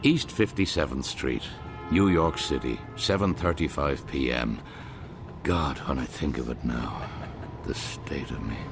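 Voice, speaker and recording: Very deep-voiced Irish man narrating. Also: measured pace, music in the background. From Ireland. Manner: wry, rueful storyteller, lyrical lists. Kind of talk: scripted first-person radio narration.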